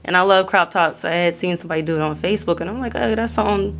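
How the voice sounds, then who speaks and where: A woman in her mid-twenties from the Pittsburgh area, speaking as a guest on a podcast. She talks freely and honestly and is quick to poke fun at herself. Her voice sits somewhat low for a woman. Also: a bit bright. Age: 20s. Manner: chatty, candid, self-deprecating. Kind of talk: casual interview chat.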